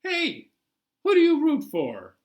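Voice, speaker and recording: A man reading a picture book aloud as a chatty, bemused New York City taxi driver, colloquial and animated. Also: very high voice, slow, pitched above the performer's own voice, exaggerated voice. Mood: surprised, questioning. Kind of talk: first-person picture book read-aloud.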